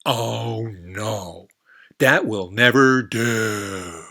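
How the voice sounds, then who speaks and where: A man voicing a loud, boastful and rude female frog from a storybook, drawing out his words slowly. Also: lowish voice, vocal fry, full character voice. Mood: haughty. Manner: slow, drawn-out, shouted words. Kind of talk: storybook character voice.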